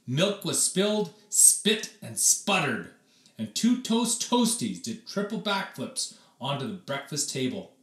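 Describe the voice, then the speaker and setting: A man narrates a picture book in a slow, deliberate way. His pitch is very animated, with theatrical emphasis and pauses, and the room adds a clear echo. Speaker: read-aloud storyteller, teacher. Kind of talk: expressive children's storybook narration.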